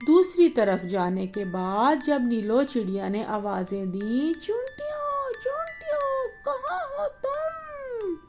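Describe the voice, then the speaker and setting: Slow, high-voiced woman telling children's stories. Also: darkish tone. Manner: simple, addressing children directly. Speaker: children's storyteller. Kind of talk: bedtime story narration for children.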